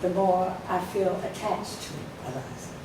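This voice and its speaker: A woman with a low voice speaks at a podium in a ceremony, her voice a little weaker than usual. She tells her story emphatically, with a few fillers. She is picked up from a distance in a heavily echoing hall, with noise underneath. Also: normal speed. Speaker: society spokesperson. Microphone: podium microphone. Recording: echoey hall from far off, noisy.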